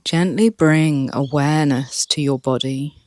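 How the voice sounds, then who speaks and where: A meditation guide speaking calmly and softly, leading a relaxation session with long pauses. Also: mid-range voice. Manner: calm, soft, with long pauses.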